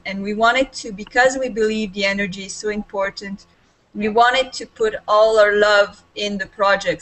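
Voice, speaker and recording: A woman's voice: mid-range voice, a bit bright, poor audio. A woman in her forties with a Serbian accent, talking earnestly and enthusiastically as a panelist on a video call from a small room at home. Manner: enthusiastic, gestures with both hands. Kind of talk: earnest spontaneous talk. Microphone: webcam on a video call.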